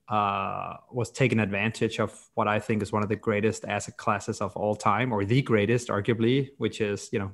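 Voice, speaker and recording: Casting: man, 30s, from Denmark, fairly low voice, informal founder explaining things in a conversational interview. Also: fairly bright tone. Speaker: podcast guest, company co-founder and CEO.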